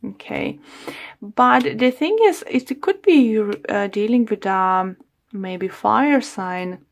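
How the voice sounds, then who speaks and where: A woman giving a rambling tarot reading, with loose phrasing, lots of fillers, and a voice that is going hoarse. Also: medium pitch, animated, takes it slowly. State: losing her voice. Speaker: tarot reader. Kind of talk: solo tarot reading to camera.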